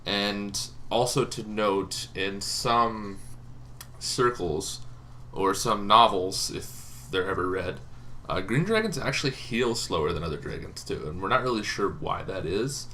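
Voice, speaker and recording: A young man with a lowish voice and a crisp, forward tone talks through tabletop game lore in a loose, rambling way, full of ums and false starts. A faint hiss sits under the recording. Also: unhurried. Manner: relaxed, rambling, many ums and restarts. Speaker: tabletop game video host. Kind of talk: casual explainer talk.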